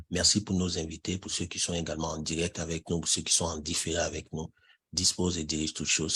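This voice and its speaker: A man's voice: low voice, bright, forceful tone, husky. A man hosting an online ministry interview who opens with a prayer and often repeats himself with hein and n'est-ce pas. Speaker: host.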